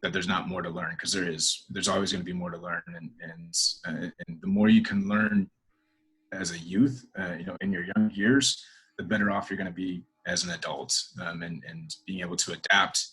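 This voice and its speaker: Deep husky man, halting answers. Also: a bit bright, low-quality recording. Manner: hesitant, many fillers and restarts. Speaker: interview guest, corporate professional. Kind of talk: casual interview answers.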